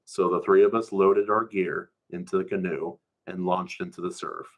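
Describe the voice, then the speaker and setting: A man's voice: low voice, steady tone, normal speed. A man reading a first-person story aloud in a colloquial, storytelling way.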